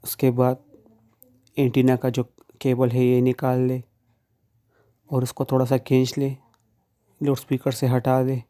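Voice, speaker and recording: A man's voice: medium pitch, completely flat delivery, a little slow, long pauses, mellow. A man giving plain, informal step-by-step how-to instructions, speaking directly to the viewer. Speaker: phone repair tutorial presenter.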